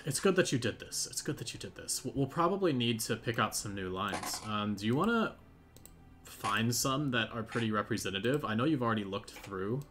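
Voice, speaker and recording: A man's voice: medium pitch, expressive, fairly quick, a bit bright. A man hosting a game developer's live stream, chatting casually and thinking aloud with plenty of fillers while he works. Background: background music.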